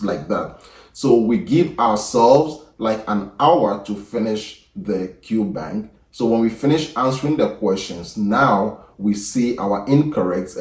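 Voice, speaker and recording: Low-voiced man, echoey and distant. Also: a little mellow. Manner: measured, with frequent fillers and restarts. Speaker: medical exam prep channel host. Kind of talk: unscripted advice talk to camera.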